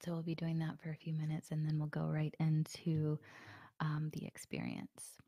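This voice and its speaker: Low-voiced woman with a sore throat. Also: moderate pace, no room sound, studio quality. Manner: soft, relaxed, many fillers; drops to a breathy near-whisper. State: sore throat, slightly hoarse. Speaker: meditation guide. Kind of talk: guided meditation with a live group.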